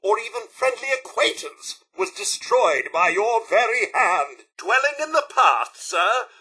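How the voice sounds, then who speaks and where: A man's voice: high voice, very animated, a little slow, bright, forceful tone, breathy edge, full character voice, rough recording. A man with a British accent voicing a prim, loyal robot butler in a video game, with a formal, fussy, theatrical manner. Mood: offended. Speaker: companion character in a video game. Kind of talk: scripted game dialogue.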